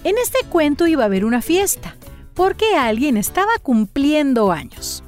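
A woman's voice: high voice, big pitch swings, normal speed, a little mellow, faint music behind. A woman hosting a children's story channel, running a playful quiz and praising each answer in a coaxing way.

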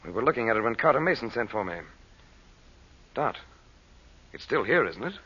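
An actor playing a calm, tactful American adventurer in an old radio drama, with an easygoing, courteous manner. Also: male voice, medium pitch, a little fast, exaggerated voice. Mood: reassuring. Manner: easygoing, smooth-talking, courteous. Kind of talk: 1930s-40s radio drama acting. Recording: dull, hissy old radio recording.

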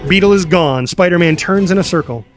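A man with a fairly high voice narrates a screenplay's stage directions. His delivery is dramatic and punchy, built for action. Music runs underneath. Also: fairly quick.

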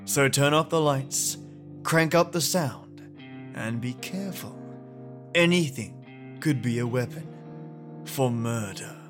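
A man's voice: mid-range voice, lively intonation, slow, long pauses, fairly bright tone. A man introducing a podcast as a playful storyteller with a theatrical scripted delivery. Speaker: podcast host. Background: plucked guitar music.

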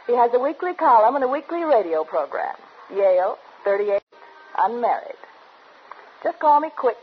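An actress playing a smug, nosy young American secretary, a teasing, sing-song wisecracker in a 1950s radio drama. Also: female voice, on the high side, sing-song, normal speed. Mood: gleeful, efficient. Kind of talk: 1950s radio drama comic sidekick acting. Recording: dull old radio recording, slight noise.

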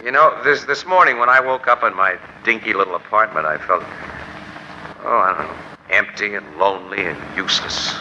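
An actor playing a world-weary, gruff but soft-hearted newspaper photographer in slangy 1940s radio style. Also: male voice, on the low side, a little fast. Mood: wistful. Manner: slangy, wry tough talk. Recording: noisy, dull 1940s radio recording.

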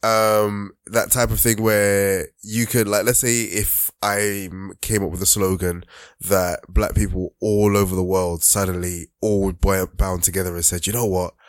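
A man's voice: low-pitched, little pitch movement, a little slow, no echo, studio quality. A man co-hosting a casual podcast chat, explaining his point at length and acting out other people's voices as he goes.

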